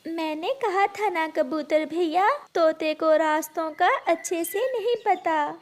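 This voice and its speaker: A woman voicing a gentle, hard-working mother bird in a cartoon, with a caring, pleading manner. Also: very high voice, unhurried. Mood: concerned.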